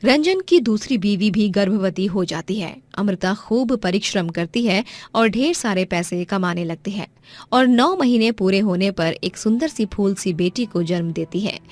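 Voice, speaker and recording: A woman's voice: medium pitch, animated, a little slow, darkish tone, dry recording. A woman narrator reading a scripted moral story.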